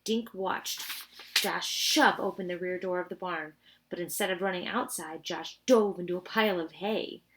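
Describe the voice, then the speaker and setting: A woman's voice: on the low side, normal speed, fairly bright tone, rough recording. A woman reading a children's storybook aloud expressively, with informal asides to her listeners.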